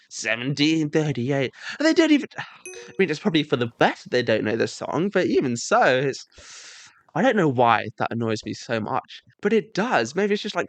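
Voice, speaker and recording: Exasperated young man ranting. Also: no room sound. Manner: exasperated, sarcastic ranting with sudden high-pitched outbursts. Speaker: vlogger. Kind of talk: comedic rant to camera.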